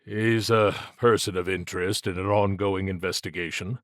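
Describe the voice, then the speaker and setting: A man's voice: sits low, steady tone, moderate pace, dry recording, clean studio sound, close to an everyday voice. A man voicing a weary, stubborn federal agent in an audiobook, terse, pointed and grudging. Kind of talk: audiobook character dialogue.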